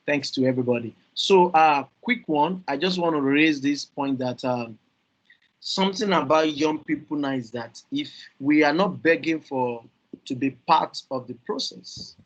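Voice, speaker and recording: A Nigerian man speaking earnestly on a video call panel, halting with fillers and repeated words, over dull lo-fi audio. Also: a little high. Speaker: youth climate panelist.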